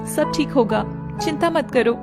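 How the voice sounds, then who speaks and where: A woman's voice: sits high, moderate pace. A woman voicing a long-suffering, resolute young wife in a dramatic story. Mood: resigned consoling. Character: wronged young village wife, expectant, bitter yet long-suffering. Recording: music playing behind the voice.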